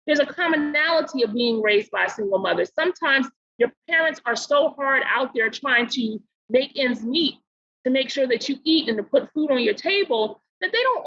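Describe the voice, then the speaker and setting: A middle-aged woman from Barbados, a podcast guest, talking in an earnest, measured way and slowing down to stress her points. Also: sits high, a bit bright, dull audio. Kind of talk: casual interview talk.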